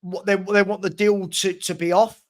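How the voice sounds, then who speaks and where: A man's voice: high voice, little pitch movement, normal speed. A man in his forties hosting a casual football fan livestream, chatting matily with viewers and often repeating words as he thinks aloud. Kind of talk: casual live chat reading and answering viewer comments.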